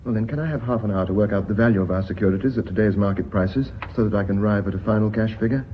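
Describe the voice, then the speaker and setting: An actor playing an earnest, persuasive company executive in a British radio play, speaking formally and deliberately. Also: male voice, fairly low pitch, natural voice. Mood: measured. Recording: dull, faintly noisy old radio recording.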